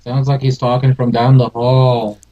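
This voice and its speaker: A man's voice: mid-range voice, little pitch movement, normal speed. A man making casual joking comments as a livestream guest, heard through muffled, dull audio.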